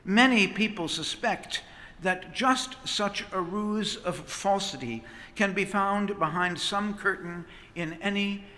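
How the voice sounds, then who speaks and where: A middle-aged priest preaches a sermon in an unhurried, measured rhythm. His voice is high and bright, and long reverb trails every phrase through the big stone church. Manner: expressive preaching with sweeping hand gestures. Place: large stone church, at the pulpit. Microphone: pulpit microphone on a stand.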